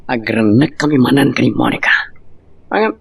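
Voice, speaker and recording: A man's voice: mid-range voice, expressive, moderate pace, vocal fry, breathy edge, heavily acted. A man acting an irritable husband figure in an amateur radio-style drama, with an Ilocano Filipino accent. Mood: casual, sly.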